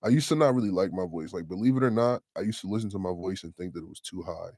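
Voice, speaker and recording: A young man in his twenties, a rapper with a deep voice, talking casually and loosely in an interview. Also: a little mellow, no echo, clean studio sound. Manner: laid-back, slangy, drawn-out affirmations. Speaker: rapper, guest.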